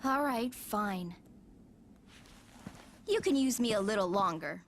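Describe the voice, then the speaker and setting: A woman's voice: mid-range voice, animated, slow pace, a little hiss or hum. A woman playing a sassy, impatient female fighter in a video game, with a flippant delivery. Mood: flippant, annoyed. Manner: flippant, grumbling. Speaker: voice actor in a video game cutscene. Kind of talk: game dialogue.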